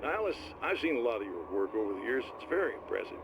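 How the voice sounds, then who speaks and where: A game's gravelly TV host, stumbling and chatty, in a dull, hissy broadcast. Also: male voice, mid-range voice, big pitch swings, fast talker. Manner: hesitant, awkward, full of filler words. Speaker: TV talk show host. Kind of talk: interview on a broadcast.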